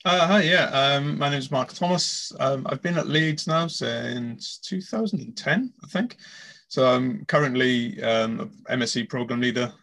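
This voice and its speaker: A middle-aged man from South Wales with a gravelly, creaky voice and mid-range pitch talks in a relaxed, slightly hesitant way. He is a lecturer guest on a podcast. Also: measured pace. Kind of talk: casual podcast chat.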